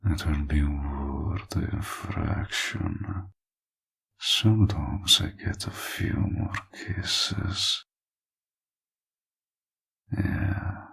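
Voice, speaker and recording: A man playing a flirtatious, possessive villain in an intimate roleplay audio, teasing and smug, with a husky, gravelly voice that often drops toward a murmur. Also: extremely low pitch, drawn-out words, long pauses, a bit bright, full character voice. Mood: flirtatious, coaxing.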